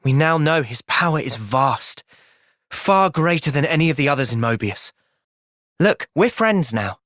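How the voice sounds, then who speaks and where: A man with an English accent voicing an earnest, thoughtful young soldier hero in a fantasy video game, speaking in a measured, reflective way. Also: on the high side, expressive, a bit bright, no room sound, clean studio sound. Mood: calm, thoughtful. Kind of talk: video game cutscene acting.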